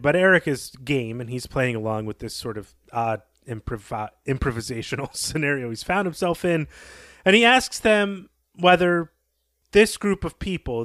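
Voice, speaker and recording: A man's voice: medium pitch, a bit bright, dry recording, studio quality. A man co-hosting a podcast, retelling a story and commenting on it as he goes. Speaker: podcast co-host.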